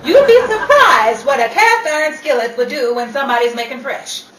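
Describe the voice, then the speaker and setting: A woman's voice: sits high, animated, normal speed, fairly bright tone. A woman playing a sassy, hard-drinking, wisecracking dame in a 1930s-style radio comedy. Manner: wisecracking, theatrical. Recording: roomy, distant-mic recording with faint noise.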